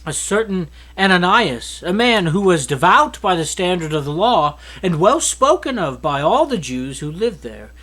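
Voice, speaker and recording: Male Bible reader, notably high voice, expressive scripted narration. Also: moderate pace, few pauses.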